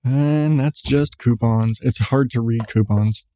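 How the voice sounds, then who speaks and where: A middle-aged man hosting his podcast with casual, profane rambling and frequent laughs in a calm voice. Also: mid-range voice, takes it slowly, no room sound, clean studio sound. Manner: profane, candid, laughs often. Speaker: podcast host. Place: seated at home on a creaky chair.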